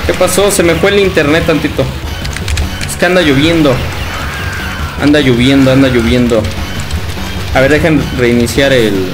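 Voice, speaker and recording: Young Mexican man, Mexican Spanish accent, friendly streamer banter, slightly high voice, game music loud underneath. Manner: chatty, laughs often, calls viewers brother. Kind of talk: casual livestream chat reading viewer comments. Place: indoor room at home.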